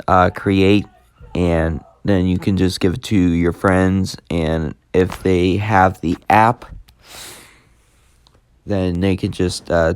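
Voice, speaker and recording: A man with a low, smooth voice walks through an app in a casual, unscripted way. He takes his time, often pausing partway through a sentence. The sound is dry and close, with little room on it. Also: no echo. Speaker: YouTube host demonstrating an app. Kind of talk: casual unscripted tutorial commentary.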